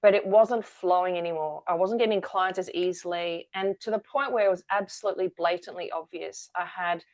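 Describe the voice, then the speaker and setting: A woman's voice: on the low side, fairly even intonation, a little fast, few pauses, a little mellow. A woman, a guest telling her story candidly in an interview. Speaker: guest, energy-work founder. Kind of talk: conversational interview.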